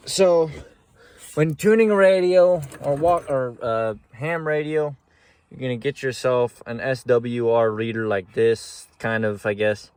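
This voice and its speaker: A man's voice: mid-range voice, steady tone, measured pace, a little mellow, clean tone, no echo. A man hosting a casual how-to tutorial from inside a truck at night, rambling and grumbling at his gear. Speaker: channel host showing truck repairs.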